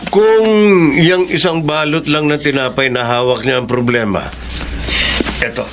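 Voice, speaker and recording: A man's voice: medium pitch, unhurried, background noise, lightly acted. An actor playing a kind male stranger in a radio drama, firm and conciliatory as a peacemaker.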